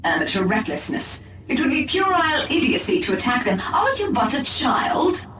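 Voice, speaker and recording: A woman's voice: high voice, very animated, normal speed, fairly bright tone, slight background noise. An actress playing a disdainful female elf warrior in a video game, speaking in a clipped, imperious, formal way. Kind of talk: scripted video game acting. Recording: echoey, distant, music behind.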